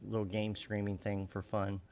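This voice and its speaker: A man's voice: on the low side, completely flat delivery, normal speed, a crowd in the background. A man co-hosting a podcast, chatting casually and thinking aloud as he talks. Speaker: podcast co-host. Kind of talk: casual chat.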